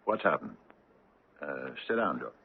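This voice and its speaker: A man playing a concerned, patient older father, gentle and world-weary, in a 1970s radio drama. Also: rumbling low, big pitch swings, a little slow, creaky, a little hiss or hum, heavily acted. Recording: muffled vintage radio broadcast.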